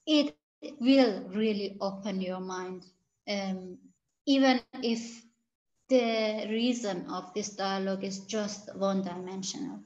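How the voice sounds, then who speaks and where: Halting woman on a dull call. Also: medium pitch, a little mellow. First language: Gilaki. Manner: halting, searching for words, many restarts. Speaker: interviewed researcher. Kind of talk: interview answers.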